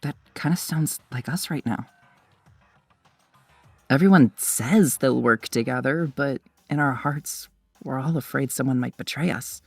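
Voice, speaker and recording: A woman's voice: rumbling low, measured pace, gravelly, slightly rough, no room sound, soft background music, exaggerated voice. A woman playing a distressed, fearful female student in an amateur game dub.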